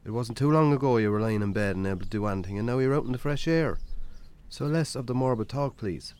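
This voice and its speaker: An actor with an Irish accent playing a stubborn, wry farmer in a radio drama, dry and offhand. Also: male voice, mid-range voice, expressive, fast talker, darkish tone, clean tone, close to an everyday voice. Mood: caring, dry. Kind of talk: naturalistic radio drama acting.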